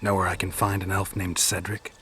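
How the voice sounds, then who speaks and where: A deep, gravelly monster hunter from a fantasy game. He speaks in terse, wry, businesslike lines, with clear noise behind him. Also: a little fast. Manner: terse, flat questioning. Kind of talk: fantasy video game dialogue.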